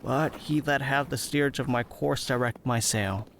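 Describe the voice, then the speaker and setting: A lovestruck young man heavy with foreboding, played by a male actor in a Shakespearean audio drama. His medium-pitched voice crackles with fry, over some background noise and ambience. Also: steady tone, moderate pace, fairly bright tone, natural voice. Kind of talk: Shakespearean audio play acting.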